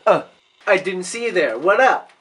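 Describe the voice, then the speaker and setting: A performer voicing a brash, swaggering young male onion puppet who talks loud, cocky slang. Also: mid-range voice, animated, normal speed, reverberant, a little hiss or hum, close to an everyday voice. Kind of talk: comedic puppet banter.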